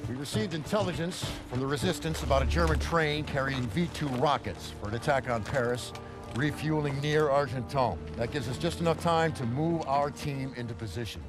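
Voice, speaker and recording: Man as senior army commander, formal and authoritative, medium pitch, music under him, game briefing. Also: brisk, close to an everyday voice. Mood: stern, formal. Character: authoritative senior army officer giving mission orders. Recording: music behind the voice.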